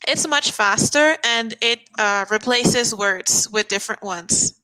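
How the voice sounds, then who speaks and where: A woman's voice: on the high side, slow pace, pushed and bright, smooth, poor audio. A woman from the Bahamas working as an online English tutor, chatting casually and laughing often on a video call.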